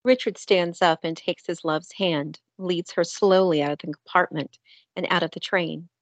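A woman's voice: lowish voice, steady tone, moderate pace, dry recording. A woman reading scripted narration as the storyteller of an audio drama.

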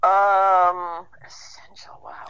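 A woman's voice: on the low side, flat delivery, drawn-out words, a bit bright, clean tone. A woman speaking casually and conversationally as a guest in an interview. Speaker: interview guest, actor and writer. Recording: dull band-limited radio call.

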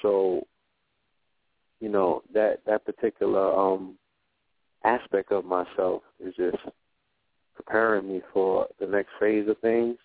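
A man talking in a relaxed, reflective way on a radio roundtable, often stopping to ask "you know what I'm saying". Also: deep voice, takes it slowly, pauses often, no room sound. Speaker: radio show guest. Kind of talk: casual roundtable talk. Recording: phone-like narrow line.

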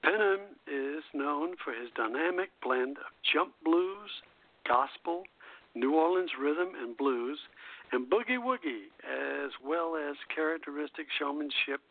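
A man hosting a radio show, reading music history from a script in a halting way, stumbling and correcting himself. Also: mid-range voice, no room sound. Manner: halting reader who stumbles and self-corrects. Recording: tinny phone-line internet radio call.

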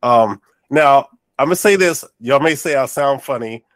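A mid-pitched man with a drawl hosts a chat podcast, his voice nasal and stuffed-up. He talks in an affirming, encouraging way, recorded dry and close to the mic. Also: animated, moderate pace, no echo. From North Carolina. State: nasal and congested. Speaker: podcast host. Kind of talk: casual interview chat.

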